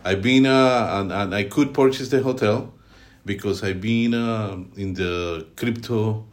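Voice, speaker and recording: A middle-aged Colombian man with a Colombian Spanish accent and a very low voice, answering podcast questions. He talks haltingly, filling gaps with "uh" and restarting his sentences. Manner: halting, many fillers and restarts. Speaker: guest, team leader. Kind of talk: podcast interview.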